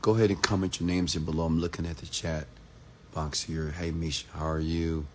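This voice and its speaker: A man from Texas, hoarse after a seminar, hosting a late-night livestream, greeting viewers by name and giving motivational talk. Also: a bass voice, little pitch movement, a little fast, a little hiss or hum. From Texas, USA. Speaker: entrepreneur hosting a live stream.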